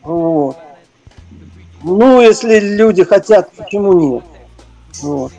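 An older man reminiscing in an interview, speaking haltingly and searching for his words. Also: sits high, animated, warm tone, soft background music. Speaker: interview guest, retired prison-service officer and former stage performer.

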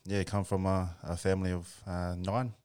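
A man's voice: low-pitched, little pitch movement, normal speed, a little mellow, smooth. A man from Brisbane with an Australian accent, chatting casually as a guest in a colloquial, hesitant manner. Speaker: podcast guest. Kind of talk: casual chat.

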